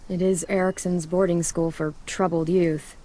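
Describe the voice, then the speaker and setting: A woman playing a protective teenage girl who leads survivors in a game drama, with a husky voice and a calm, guiding, dry manner. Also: lowish voice, moderate pace, close to an everyday voice, rough recording. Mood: gentle, guiding. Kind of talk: video game dramatic acting.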